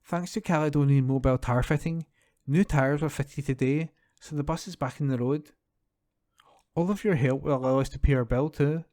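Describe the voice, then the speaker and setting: A man's voice: fairly high pitch, moderate pace, mellow, no room sound, studio quality. A man reading newspaper articles aloud as a volunteer reader, recorded at home. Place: at home, dry close sound.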